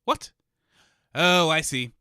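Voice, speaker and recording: A man hosting a scripted comedy radio show, grumpy, sarcastic and quick to insult his callers. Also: fairly high pitch, big pitch swings, bright, forceful tone, clean tone, no echo, clean studio sound.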